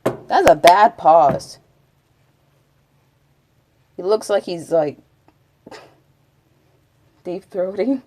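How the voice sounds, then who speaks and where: A woman's voice: on the low side, lively intonation, extremely slow, pauses often, darkish tone, a little hiss or hum. A chatty woman from Texas, rambling casually as a live streamer and answering her chat. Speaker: Twitch streamer.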